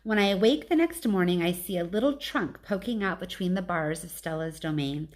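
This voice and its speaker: A woman's voice: on the low side, brisk, darkish tone. A woman reading a children's storybook aloud as a teacher, narrating chapter text with brief asides.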